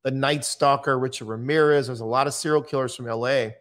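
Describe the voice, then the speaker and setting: A man's voice: medium pitch, steady tone, fairly quick, fairly bright tone. A middle-aged American man talking in a blunt, matter-of-fact way, as a guest in a conversational interview. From United States. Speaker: podcast guest, true-crime interviewer and author. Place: indoor room, on a video call. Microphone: microphone on boom arm.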